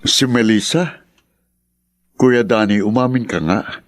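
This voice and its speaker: A man's voice: mid-range voice, takes it slowly, faint music behind, natural voice. Man as a sceptical, exasperated uncle. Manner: questioning, reproachful. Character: sceptical, exasperated uncle challenging his older brother. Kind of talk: Tagalog radio drama acting.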